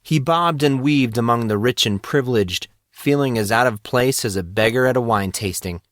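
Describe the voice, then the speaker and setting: A man's voice: mid-range voice, normal speed, fairly bright tone, no echo. A man reading scripted fantasy fiction as an audiobook narrator.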